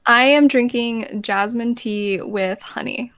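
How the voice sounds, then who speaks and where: A woman's voice: a little high, slow, a bit bright, no room sound. A young woman, a college student who makes ASMR videos, chatting as a guest in a bubbly, upbeat way. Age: young adult. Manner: bubbly, upbeat, laughs easily. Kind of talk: casual interview chat.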